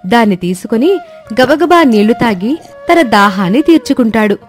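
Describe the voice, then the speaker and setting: A woman's voice: on the high side, lively intonation, normal speed, soft background music. A woman reading scripted narration for a moral storybook tale.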